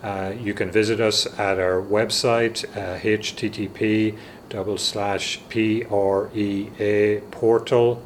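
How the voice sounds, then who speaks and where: Low-voiced man presenting, halting delivery. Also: a little hiss or hum. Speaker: research project lead and presenter. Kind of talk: informational project presentation.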